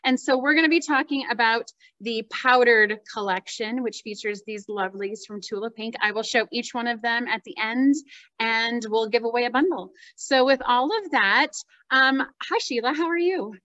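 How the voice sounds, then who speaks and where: A woman in her thirties hosts an interview over a webcam, upbeat and eager as she asks questions. Her voice sits fairly high, and the sound is dry and a little dull, like typical video call audio. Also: moderate pace, bright, forceful tone, dry recording. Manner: upbeat, enthusiastic, laughs along. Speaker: host. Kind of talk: casual interview chat.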